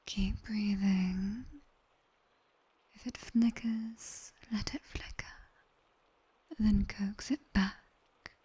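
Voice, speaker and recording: A British woman voicing a sharp-tongued, teasing demon tutor in a whispered ASMR roleplay, guiding the listener slowly, close to the microphone. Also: mid-range voice, full character voice, rough recording. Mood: coaxing, firm. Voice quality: breathy, mostly whispered. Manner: slow, dry, teasing instruction. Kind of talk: ASMR roleplay, guided body scan. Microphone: close binaural microphone.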